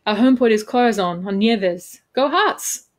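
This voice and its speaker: A woman voicing a cheerful, cheeky spaceship rescuer of about thirty, warm and breezy, in a story reading. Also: mid-range voice, lively intonation, quick pace, close to an everyday voice. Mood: cheerfully unsorry.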